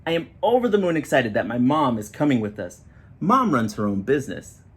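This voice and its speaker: A man reading a children's picture book aloud in an expressive storytelling style, with short explanatory asides. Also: mid-range voice, a little fast. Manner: expressive, acting out children's dialogue.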